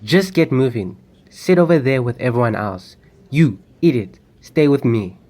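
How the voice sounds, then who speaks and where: A man's voice: medium pitch, expressive, moderate pace, darkish tone, close to an everyday voice. A young man acting a commanding, impatient armed bank robber in an amateur radio drama. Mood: impatient threats. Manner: commanding, curt. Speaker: student actor.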